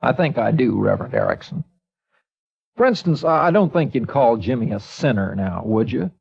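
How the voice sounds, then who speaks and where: A calm, folksy small-town newspaperman talks in an easygoing drawl. His voice is a little husky. The sound is dull and lo-fi, like an old radio broadcast. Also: medium pitch, normal speed, full character voice. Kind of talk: 1950s radio acting.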